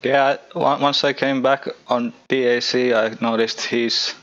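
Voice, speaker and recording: A man's voice: mid-range voice, fairly even intonation, unhurried, fairly bright tone, dull audio. A man hosting a podcast, chatting casually in a laid-back way and laughing between his words. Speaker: podcast host. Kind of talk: casual chat.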